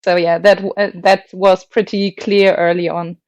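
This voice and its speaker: A woman's voice: on the low side, flat delivery, moderate pace, no room sound, muffled recording. Dry-voiced woman, hesitant opera guest. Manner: thoughtful, hesitant, many fillers and restarts, laughs often. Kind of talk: casual interview chat.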